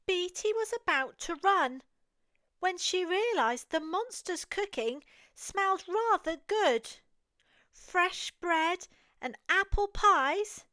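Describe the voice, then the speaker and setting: English lady reading a toddler bedtime story, really high pitched, slow careful pace. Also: lively intonation, sharp tone, no echo. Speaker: children's storyteller. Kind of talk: read-aloud picture book narration for toddlers.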